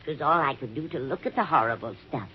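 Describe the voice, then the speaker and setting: A woman's voice: rumbling low, lively intonation, quick pace. An actress playing a sweet-seeming, scheming old lady in a fluttery, coy manner for a 1950s radio drama. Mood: feigned innocence. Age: older. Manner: coy, fluttery, feigned innocence. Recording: muffled vintage radio recording with hiss.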